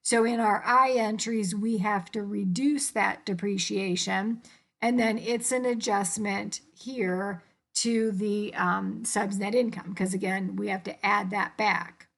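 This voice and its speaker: A woman's voice: medium pitch, steady tone, slow pace, creaky, a bit bright. A woman instructor informally explaining worked accounting problems step by step.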